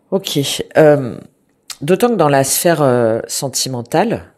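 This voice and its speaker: A woman giving a spoken tarot reading to viewers, congested from a cold, hesitant with many fillers and restarts but gentle and reassuring. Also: low voice, lively intonation, takes it slowly. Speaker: tarot reader.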